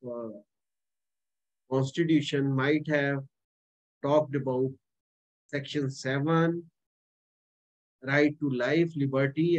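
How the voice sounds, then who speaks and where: Indian english guy teaching law exam prep, emphatic, repeats stuff, slightly high voice, muffled lo-fi audio. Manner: emphatic, repeats key points and dates. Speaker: law lecturer. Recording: dull lo-fi recording.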